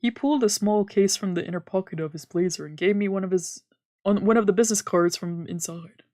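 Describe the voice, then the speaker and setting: A woman's voice: medium pitch, a little fast, mellow, dry recording, clean studio sound. A woman streamer narrating a visual novel aloud in a let's play, reading dramatically and sometimes stumbling over words.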